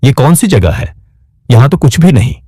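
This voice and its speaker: A man putting on a high-pitched frightened young woman. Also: sing-song, normal speed, warm tone, breathy edge, no echo, clean studio sound. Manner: pleading, fearful.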